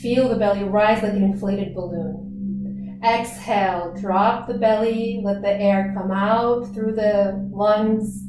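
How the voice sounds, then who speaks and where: A woman teaching gentle yoga, giving calm, unhurried and soothing guided instructions. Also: mid-range voice, noisy background. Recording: very echoey room, distant mic.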